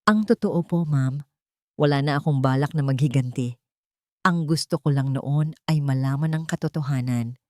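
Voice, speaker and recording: A woman's voice: low voice, normal speed, a little mellow, dry recording, clean studio sound, lightly acted. A woman voicing a grave, loyal man who speaks politely and earnestly in an audiobook drama. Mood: earnest, resolute. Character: grave, respectful man, loyal helper seeking truth.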